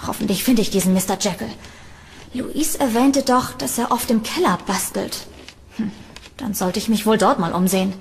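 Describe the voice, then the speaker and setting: A woman playing a curious, uneasy young tenant in a horror audio drama, with expressive delivery. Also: medium pitch, moderate pace, gravelly, a little hiss or hum, close to an everyday voice. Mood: curious, wary. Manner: expressive, talking to herself. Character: curious, uneasy young female tenant exploring a creepy house. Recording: music behind the voice.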